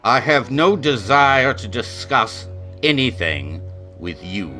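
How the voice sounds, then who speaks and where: A man's voice: medium pitch, sing-song, slow pace, sharp tone, faint music behind, heavily acted. A man playing a stern older major in a western radio drama, speaking in a formal, clipped, authoritative way. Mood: cold, grave. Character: stern, cold, commanding older major leading a lynch mob.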